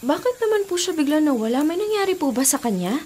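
A young student in a radio drama. Her voice is fairly high, and she speaks politely with an anxious edge. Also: big pitch swings, quick pace, darkish tone. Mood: polite, concerned. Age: young adult. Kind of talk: Filipino radio drama acting. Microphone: studio mic.

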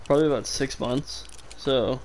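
A young man talking through a game stream on a headset mic, sarcastic and quick to poke fun at himself. His voice sits at an ordinary middle pitch, and he drops casual swears into his commentary. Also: fairly even intonation, noisy background.

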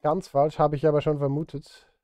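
A man's voice: on the high side, flat delivery, fairly quick, warm tone, no echo. A man in his thirties chatting casually to livestream viewers while he works, self-deprecating and direct. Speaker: music streamer and composer. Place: indoor home studio.

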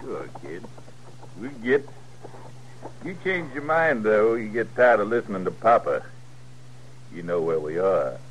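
Sly American outlaw, deep voice, mocking drawl, noisy old radio recording. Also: male voice, sing-song, unhurried, long pauses. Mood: taunting, sly. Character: taunting, sly outlaw gang leader. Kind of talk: 1950s radio Western acting.

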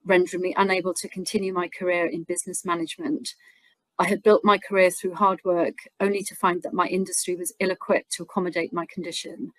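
A woman reading a prepared personal story aloud on a webinar over a video call, in a steady, formal way. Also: lowish voice, no room sound, poor audio. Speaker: charity staff member reading a patient's story.

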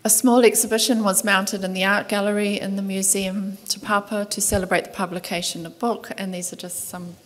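A middle-aged woman with a New Zealand accent reading a conference paper in a soft, measured voice, from a table mic in a large lecture hall. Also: mid-range voice, little pitch movement. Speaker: conference speaker, museum curator. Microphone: table microphone at panel desk.

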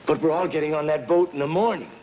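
An actor in his fifties playing a gruff, wary gangster sidekick, plain-spoken and dramatic in 1950s noir style. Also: on the high side, fast talker, lightly acted. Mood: uneasy, defiant. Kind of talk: 1950s noir film acting. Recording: dull, noisy 1950s film soundtrack.

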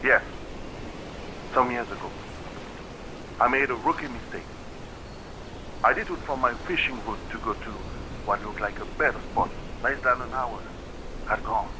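A weathered fisherman of the islands, grave and measured. He tells his tale matter-of-factly in a game's found recording, with clear noise over the old playback. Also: mid-range voice. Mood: grave, rueful. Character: weathered island fisherman, grave, recounting a frightening sea encounter. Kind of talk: video game voice acting, recorded interview account.

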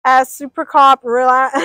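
A woman's voice: high-pitched, bright, forceful tone, no room sound, clean studio sound. Chatty young woman streaming live. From Persian. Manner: chatty, rambling, reads out viewer names. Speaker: livestreamer. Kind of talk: casual chat with viewers. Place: outdoors by a pool.

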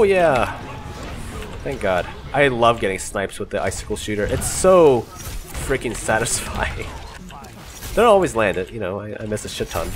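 Young male gaming host with a head cold, animated pitch, music bed clearly audible. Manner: chatty, laughing, swearing at close calls. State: congested from a cold. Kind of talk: casual gameplay commentary. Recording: music playing clearly underneath. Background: game music and sounds.

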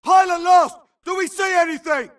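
An actor playing an urgent infantry grunt in a video game, barking clipped combat callouts. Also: male voice, extremely high pitch, steady tone, moderate pace, raised voice, far from the mic, heavy background noise. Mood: urgent alarm. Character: gruff, urgent infantry grunt soldier in battle. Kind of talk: shouted combat barks.

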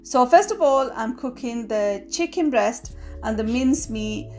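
A woman's voice: on the high side, unhurried, soft background music. Chatty woman narrating her cooking. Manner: relaxed, chatty, with fillers. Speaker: cooking vlogger. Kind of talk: casual voice-over narration.

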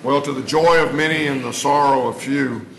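Hoarse gravelly Texan judge chairing a meeting. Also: male voice, mid-range voice. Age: older. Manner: dry, joking, brisk. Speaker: presiding county judge. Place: large county courtroom, indoors. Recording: echoey room with faint noise.

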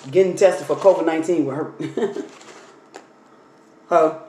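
A woman with a slightly hoarse voice chats easily to her followers as she opens her food and eats. She sits at a dining table at home, recorded on a phone propped in front of her. The room gives her voice a noticeable echo, with a faint hiss underneath. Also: sits low, unhurried. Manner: chatty, talks while unpacking and eating food. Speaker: vlogger. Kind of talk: casual mukbang storytime chat. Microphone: phone camera on the table. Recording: echoey room with faint noise.

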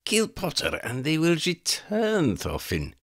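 A man's voice: fairly high pitch, wildly swooping pitch, measured pace, a bit bright, voice pushed up, heavily acted. A man voicing a cruel, scheming female vampire in an audiobook reading, icy and imperious. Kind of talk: fan fiction audiobook reading.

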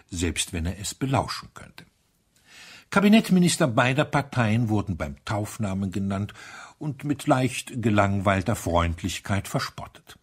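A man narrating a literary audiobook in a measured, expressive storytelling manner. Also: lowish voice, breathy edge.

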